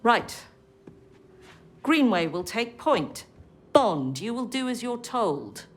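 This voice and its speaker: A woman playing a stern, brisk spy agency chief in a video game, with a British accent and a clipped, commanding manner. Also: on the high side, very animated, soft background music, heavily acted. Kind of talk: video game cutscene acting.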